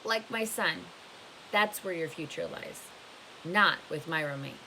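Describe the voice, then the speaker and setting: A woman's voice: sits low, lively intonation, a little slow, vocal fry, sharp tone, a little hiss or hum, voice pushed down, exaggerated voice, low-quality recording. A woman reading a storybook as a blunt, scornful old woman who gives emphatic advice. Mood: firm, instructive. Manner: emphatic, questioning, instructive.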